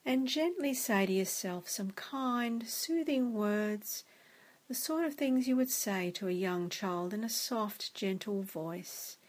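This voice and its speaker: A woman guiding a meditation in a soft, gentle, soothing way. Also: medium pitch, lively intonation, takes it slowly. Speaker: meditation guide. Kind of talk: guided meditation narration.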